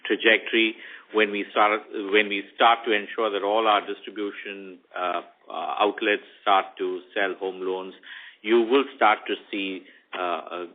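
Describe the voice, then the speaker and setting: A man answering as a bank executive on an earnings call, halting and repeating words as he goes. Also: fairly low pitch, level tone. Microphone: conference call line. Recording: phone-like line with faint noise.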